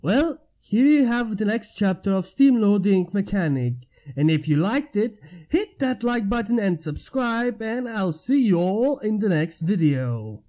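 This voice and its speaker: A man reading a story aloud as a narrator, with expressive delivery. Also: high voice, a little slow, few pauses. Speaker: fan fiction narrator and channel host. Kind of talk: scripted story narration over music. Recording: music under the voice. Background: background music.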